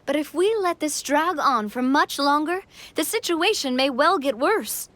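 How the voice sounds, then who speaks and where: A woman playing a kind, composed young monarch in an English-dubbed fantasy game cutscene, speaking in an earnest, reassuring and measured way. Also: high voice, animated, a bit bright, dry recording. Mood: gentle, earnest.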